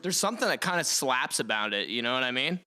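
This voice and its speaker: Casual streamer, male, slangy and loose, lively delivery, guitar audible underneath. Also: medium pitch, fast. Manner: laid-back, slangy, loose with fillers. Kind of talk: casual live-stream chat while playing guitar. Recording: guitar playing behind the voice. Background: acoustic guitar.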